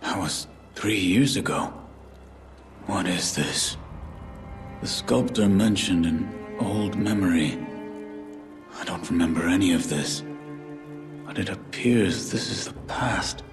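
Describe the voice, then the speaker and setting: Deep, stoic shinobi. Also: male voice, over music. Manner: terse, measured, deadpan. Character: stoic, dutiful male shinobi of few words. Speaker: video game protagonist. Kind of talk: game cutscene acting.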